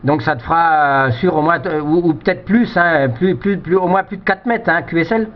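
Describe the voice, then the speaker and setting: A man's voice: a little high, normal speed. Hesitant man on a dull radio link. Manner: hesitant, repeats words and phrases. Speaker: amateur radio operator. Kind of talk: casual radio chat giving technical advice. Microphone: two-way radio microphone.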